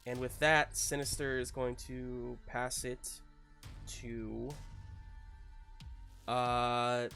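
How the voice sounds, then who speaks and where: A woman game master narrating a live tabletop roleplaying game, thinking aloud in a halting way. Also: very deep voice, flat delivery, gravelly, a bit bright, soft background music. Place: live online game stream.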